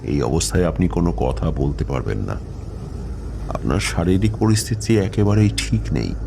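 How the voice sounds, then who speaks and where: A grave old-tale mystic acted by a man recounts eerie events in a horror audio story. The voice is extremely deep and creaky, with deliberate pauses. Clear noise and ambience sit behind it. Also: sing-song, exaggerated voice. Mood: concerned, calming. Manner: ominous, deliberate, with dramatic pauses. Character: grave tantric mystic recounting his own eerie past. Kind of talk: slow dramatic horror audio-story narration.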